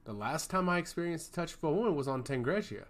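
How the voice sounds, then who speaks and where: A man voicing a charming god of chaos in a fantasy story, speaking with calm, theatrical confidence. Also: fairly high pitch, quick pace, pitched above the performer's own voice. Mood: earnest, charmed.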